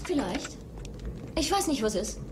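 An actress playing a feisty, bitter young bar owner in a film dub, proud, defiant and sardonic. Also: female voice, sits high, big pitch swings, normal speed. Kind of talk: dubbed film acting. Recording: noisy old film dub soundtrack.

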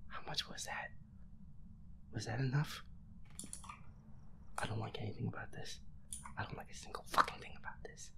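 A gamer giving hushed, breathy horror game commentary in a nervous, hesitant, profane mutter. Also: medium pitch, fairly even intonation, moderate pace, pauses often, whispered. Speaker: gamer playing a ghost-hunting game.

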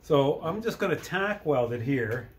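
A middle-aged man giving a casual hands-on DIY demonstration, halting and thinking aloud as he works. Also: a little high, expressive. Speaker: DIY video maker. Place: cluttered workshop with dirt floor.

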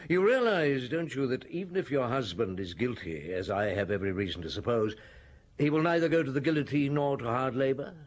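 An actor playing a shrewd, composed male police chief in a radio drama, speaking deliberately with thoughtful pauses. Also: mid-range voice, natural voice. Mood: grave, pressing. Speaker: lead actor and narrator.

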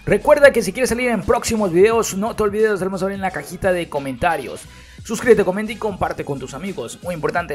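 Male sports-news host, slightly high voice, brisk read-out delivery over light background music. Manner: brisk, newsy delivery with direct calls to viewers. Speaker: news host of a football channel. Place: voice-over, not recorded at the pictured fields.

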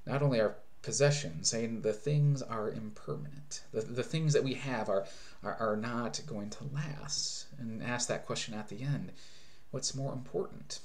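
A man's voice: mid-range voice, normal speed. A man in his thirties, a pastor, preaching an earnest sermon from a small room at home into a webcam, often restarting phrases as he explains. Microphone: webcam at desk distance.

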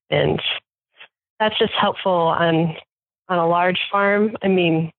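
A woman's voice: on the low side, dry recording. A woman farm manager talking casually in an interview, chatty with fillers and restarts. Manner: chatty, many fillers and restarts, laughs often. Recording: tinny phone line, dry.

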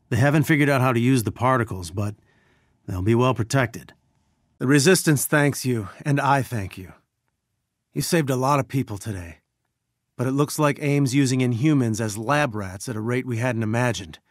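A man's voice: mid-range voice, normal speed, no room sound, studio quality, lightly acted. A man playing an earnest scientist who leads a resistance, briefing a team in a businesslike, urgent way in a video game. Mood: steady, businesslike. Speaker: game character giving mission briefings. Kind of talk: video game mission dialogue.

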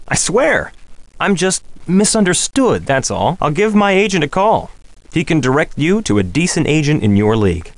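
Casting: male actor as vain soap heartthrob for a mystery game. Mid-pitched, lively, suave flirt, close dry sound. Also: no room sound, natural voice. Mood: smooth, reassuring. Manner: cocky, teasing, suave flirting. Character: vain, flirtatious soap opera heartthrob, smooth-talking charmer. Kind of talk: video game character dialogue.

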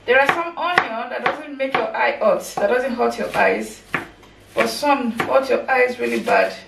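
Woman, home cooking vlog, roomy kitchen echo, clear background noise. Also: a little high, big pitch swings, measured pace. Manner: chatty, talks while chopping and cooking. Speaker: cooking vlogger. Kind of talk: casual cooking narration. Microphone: camera mic from across the kitchen. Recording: echoey kitchen, far from mic, background noise.

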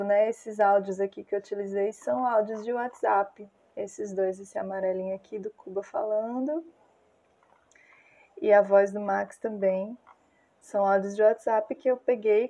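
A Brazilian woman in her thirties with a Brazilian Portuguese accent, a music producer calmly explaining how she built a track, recorded on an earphone mic in a small room. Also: medium pitch. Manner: calm, measured, unhurried. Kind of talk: casual explainer of a music project.

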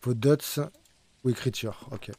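A man's voice: medium pitch, unhurried, mellow, husky, dry recording. A man streaming a ghost-hunting game live, giving urgent, repetitive directions to his teammates. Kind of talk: live gaming commentary.

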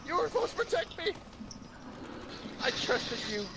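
A man's voice: very high voice, very animated, moderate pace, noisy background. A man in casual gaming voice chat with friends, playful and giggly, often putting on mock outrage. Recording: voice chat over loud game audio. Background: gunfire and vehicle sounds from the game.

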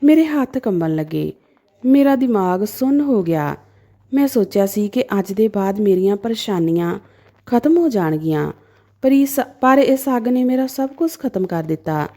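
A woman's voice: medium pitch, animated, moderate pace, mellow. A woman narrating a dramatic story in the first person as a storyteller.

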